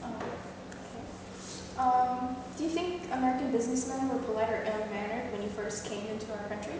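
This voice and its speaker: A woman's voice: fairly high pitch, fairly even intonation, a little fast, far from the mic. A woman interviewing a guest, reading prepared questions in a formal way. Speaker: student interviewer. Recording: echoey room, voice from afar, faint noise.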